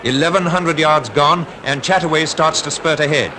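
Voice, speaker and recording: 1950s newsreel man: Received Pronunciation, highish voice, formal dramatic announcing, noisy archive sound. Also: moderate pace. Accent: British Received Pronunciation. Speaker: newsreel commentator. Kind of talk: 1950s scripted newsreel commentary.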